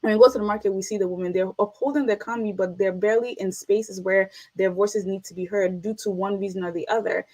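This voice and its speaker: A woman's voice: medium pitch, steady tone, fast talker, few pauses, darkish tone, low-quality recording. Earnest woman, interview guest. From United States. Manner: earnest, reflective, repeats for emphasis. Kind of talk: casual interview conversation.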